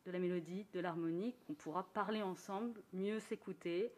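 A woman musician speaking in a thoughtful, earnest way during a radio conversation, sometimes laughing softly. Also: fairly low pitch, fairly even intonation, normal speed. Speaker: musician guest. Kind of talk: reflective conversation.

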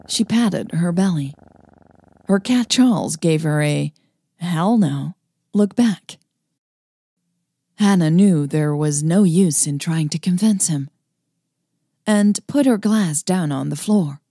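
A woman narrating her own romantic story in a calm, even reading style. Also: low-pitched, takes it slowly, vocal fry, breathy edge, no echo, studio quality. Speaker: podcast host and storyteller.

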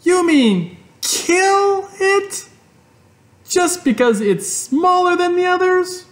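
A man voicing an eight-year-old farm girl who pleads in a shrill, outraged voice. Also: very animated, slow, heavily acted. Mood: distraught.

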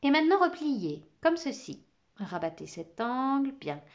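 A woman's voice: high-pitched, big pitch swings, a little slow, muffled recording, voice pushed up, full character voice. A woman reading a children's storybook, voicing a cheerful, exuberant, eccentric female teacher with sing-song, enthusiastic exclamations.

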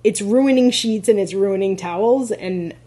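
Husky-voiced chatty woman podcaster. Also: medium pitch, measured pace, mellow. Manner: chatty, many "like" fillers, laughs often. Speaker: podcast co-host, rental host. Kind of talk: casual podcast chat.

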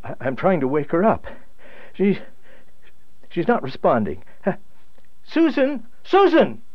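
A man's voice: high voice, wildly swooping pitch, a little slow, dull audio, exaggerated voice. An actor playing a nervous, weary divorced man with a hesitant delivery in a radio drama. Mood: frightened, panicky. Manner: hesitant, stammering.

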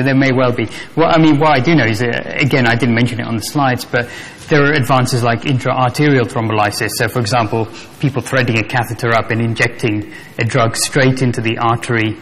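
A man in his thirties, a stroke doctor on a conference panel, answering an audience question in a measured, fluent way. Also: mid-range voice, a bit bright. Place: large conference hall stage. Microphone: table mic.

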